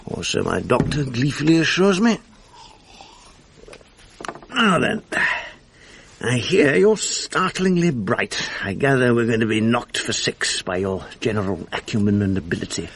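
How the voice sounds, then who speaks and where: An English actor playing a jaded, dissipated middle-aged script editor and exasperated husband in a radio drama, world-weary, sardonic and blunt, with a gravelly, husky voice. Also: male voice, mid-range voice, lively intonation, measured pace, heavily acted. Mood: wry, hungover. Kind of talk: 1980s BBC radio drama acting.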